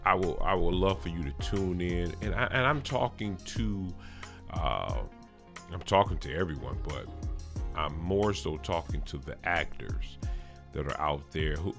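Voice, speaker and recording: A man hosting a podcast, informally announcing an upcoming show and speaking directly to listeners in a halting way. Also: sits low, gravelly. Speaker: podcast host.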